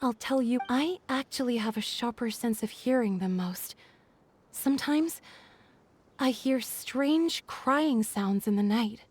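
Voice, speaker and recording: Shy, soft-spoken young village woman. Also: a little high, slow pace. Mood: earnest. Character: shy young woman of mixed desert heritage. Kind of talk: video game voice acting. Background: game background music.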